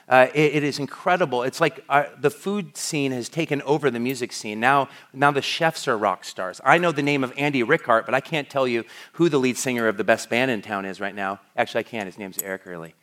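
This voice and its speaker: A man's voice: mid-range voice, a bit bright. A middle-aged man from the United States, preaching a sermon as a pastor in a conversational way, often restarting his sentences. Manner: conversational, with frequent restarts and fillers.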